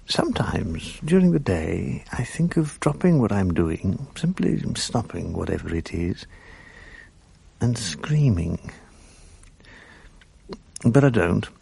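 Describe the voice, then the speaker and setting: A man's voice: fairly low pitch, big pitch swings, slow pace, gravelly, pauses often, warm tone, breathy edge, full character voice. An actor in his fifties with a British accent playing a bereaved, sleepless writer who narrates his days in a dry, self-mocking, gloomy way in a radio drama. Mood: weary. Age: 50s. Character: weary bereaved middle-aged writer, sleepless and bitter.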